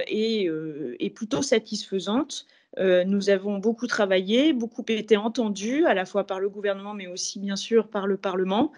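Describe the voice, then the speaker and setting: A woman speaking as an association representative in an interview, explaining policy formally and haltingly as she searches for precise wording. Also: mid-range voice, a little mellow.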